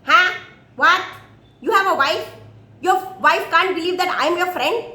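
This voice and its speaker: A woman voicing an excitable, kind, chatty male monkey in a storytelling, with eager exclamations and questions. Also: very high-pitched, measured pace, echoey room, pitched above the performer's own voice, full character voice. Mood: astonished.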